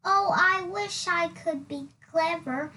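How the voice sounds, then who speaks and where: A woman voicing a simple, gentle male storybook character with a naive, earnest manner. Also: very high-pitched, takes it slowly, full character voice. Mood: wistful.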